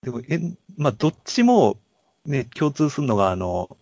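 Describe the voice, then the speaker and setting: A man with a husky voice chats about films as a podcast co-host. His intonation is lively, and he explains politely in short, halting phrases. The recording is dry, with little room sound. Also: mid-range voice, no room sound. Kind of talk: casual film discussion.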